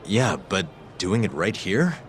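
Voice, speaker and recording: An easygoing private investigator from a video game. His slightly low voice moves around a lot in pitch, casual and a little awkward, with dry politeness. Music sits faintly behind him. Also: sing-song, slow pace, exaggerated voice. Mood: flustered, surprised. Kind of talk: English game dub cutscene acting, naturalistic.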